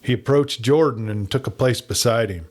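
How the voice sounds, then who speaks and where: Male audiobook narrator, mid-pitched voice, dramatic storytelling, scripted western tale. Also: normal speed, darkish tone.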